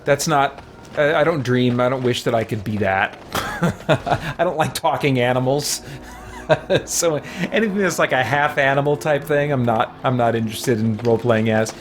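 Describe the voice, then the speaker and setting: A man's voice: medium pitch, moderate pace, a little hiss or hum. A man giving casual, rambling live commentary as a game streamer. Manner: rambling, conversational. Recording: music behind the voice. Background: game music.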